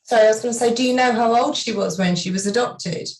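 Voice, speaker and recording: A woman guest on a podcast, a practising counsellor, speaking in a relaxed, slightly hesitant way. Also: fairly high pitch, fast talker, roomy sound, far from the mic, a crowd in the background, rough recording. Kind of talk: casual podcast chat.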